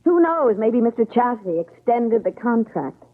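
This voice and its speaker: Wounded young fiancée, dull lo-fi sound. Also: female voice, fairly high pitch, big pitch swings, unhurried. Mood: frustrated. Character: hurt, proud, wary young fiancée. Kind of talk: old-time radio drama acting. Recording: dull, lo-fi radio drama recording.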